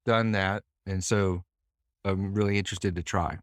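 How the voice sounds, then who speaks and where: A man's voice: low voice, steady tone, moderate pace, no echo, studio quality. A man from Boston, a musician, talking in a thoughtful, meandering way with many restarts as a guest over a video call. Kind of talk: casual interview chat.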